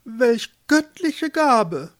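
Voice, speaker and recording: A man's voice: extremely high pitch, sing-song, drawn-out words, voice pushed up, exaggerated voice. A man voicing a haughty, restless fisherman's wife turned pope in a storybook, speaking in a stately, condescending way.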